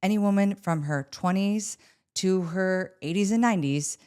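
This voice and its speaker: A woman's voice: medium pitch, moderate pace, darkish tone, clean tone. Upbeat woman guest in her forties. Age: 40s. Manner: upbeat, emphatic, laughs easily. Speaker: guest, beauty practice director. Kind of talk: casual interview chat.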